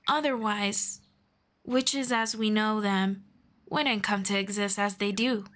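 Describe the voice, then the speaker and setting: A woman voicing a gentle, patient female elf mentor in an ASMR roleplay, speaking softly and slowly and slipping into whispers. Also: mid-range voice, fairly even intonation. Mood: gentle, soothing. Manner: soft-spoken, slow and patient, drifting into whispers. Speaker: voice actor.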